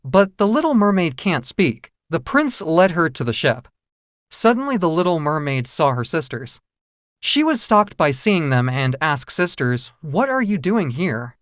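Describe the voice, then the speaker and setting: A storyteller reads a children's fairy tale from a script. The voice moves up and down in a lively, expressive way. The sound is dry, with no room around it. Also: mid-range voice, unhurried, dry recording.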